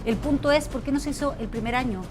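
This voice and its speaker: A woman's voice: high voice, fast talker, music in the background. A woman with a Chilean accent moderating a TV panel, pressing guests with concrete questions and cutting in. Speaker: TV show moderator.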